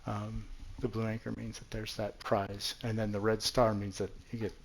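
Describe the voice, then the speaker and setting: Gravelly-voiced man, casual game commentary. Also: on the low side, flat delivery, normal speed, low-quality recording. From New Mexico. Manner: chatty, self-deprecating, rambling. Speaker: gaming channel host.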